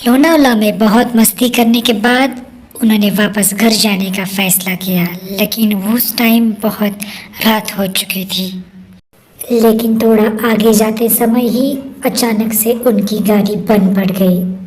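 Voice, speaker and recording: A woman narrates a scripted horror tale as a storyteller. Her delivery is slow and measured, with a mid-range pitch. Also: steady tone, a little mellow, low-quality recording.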